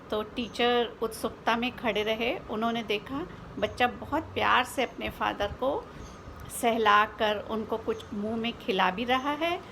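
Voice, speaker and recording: An Indian-accented woman with a high voice tells a story for children in an informal, spoken way. She explains as she goes, posing little questions and dropping in English words. A faint noise sits behind her voice. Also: gravelly, fairly bright tone, poor audio.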